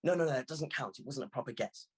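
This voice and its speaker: A man's voice: mid-range voice, quick pace, slightly rough, natural voice. A man voicing an impish young girl in an audiobook, teasing, insistent and gloating as she plays. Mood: stubborn, scornful.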